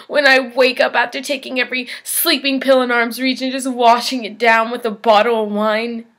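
A woman performing a dramatic monologue as a despairing young woman, speaking in a halting, emotional confession. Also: a little high. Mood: bitter, rising anguish. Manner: halting, emotional, swinging from hushed to anguished. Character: despairing suicidal young woman baring her pain in confession.

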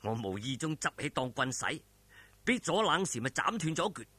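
Male reader as bold, caring young swordsman, Hong Kong Cantonese, earnest storybook hero with a husky edge. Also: a little high, big pitch swings, fairly quick, bright, forceful tone, no echo, exaggerated voice. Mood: calm, relieved.

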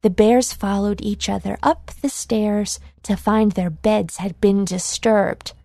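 A woman narrating a children's storybook in a measured, clearly enunciated read-along delivery. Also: medium pitch, fairly even intonation, mellow, no room sound, studio quality.

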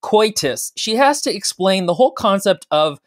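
A male podcast co-host in his thirties talks casually and analytically. His pitch swings widely as he explains his points, with a wry, joking edge. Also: lively intonation, normal speed. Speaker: podcast co-host, commentator on books. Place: indoors, small room.

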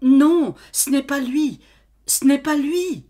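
A woman's voice: big pitch swings, normal speed, darkish tone, husky. A woman voicing a tearful young farm girl. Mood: desperate. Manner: high, pleading, tearful.

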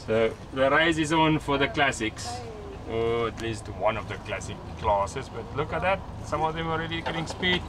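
Guy narrating a sailboat race from on deck, wind and water noise all around, gets excited. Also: medium pitch, normal speed, few pauses. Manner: excited, commenting on a race as it happens. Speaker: sailing vlog host. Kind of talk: casual live commentary. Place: outdoors on a sailing catamaran at sea. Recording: windy outdoor noise.

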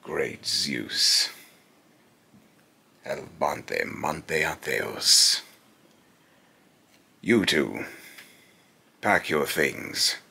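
A man's voice: very deep voice, animated, sharp tone, exaggerated voice. A young man playing a menacing, scornful godlike villain in pompous, theatrical monologue, recorded in a small room at home. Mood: menacing, taunting. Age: young adult. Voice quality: gravelly, raspy. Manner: slow, pompous, theatrical delivery. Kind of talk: dramatic villain monologue, rehearsed voice lines.

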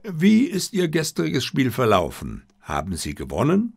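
A man voicing a shrewd, composed detective who speaks in a brisk, courteous, probing manner. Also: mid-range voice, wildly swooping pitch, gravelly, voice pushed up, heavily acted.

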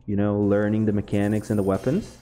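A young man from Brazil, a Portuguese speaker with a Brazilian accent, chatting casually over gameplay, relaxed and laughing often. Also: on the low side, a little fast, a little hiss or hum. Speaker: gaming YouTuber.

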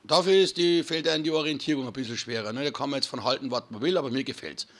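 A hoarse man, chatting casually to viewers while commentating his own gameplay. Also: medium pitch, fast. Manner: chatty, addresses viewers as friends. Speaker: gaming channel host. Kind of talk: casual let's-play commentary.

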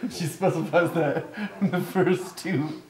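Young dude joking around casually, slightly high voice, echoey and noisy recording. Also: male voice, expressive, takes it slowly, a crowd in the background. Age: young adult. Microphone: camera microphone. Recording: echoey, with clear background noise.

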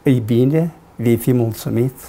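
A man in his sixties, a pastor, preaching a studio sermon from a lectern, swinging between loud climbs and quiet drops. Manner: dramatic preaching with wide swings in pitch and loudness. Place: studio with dark backdrop, at a lectern.

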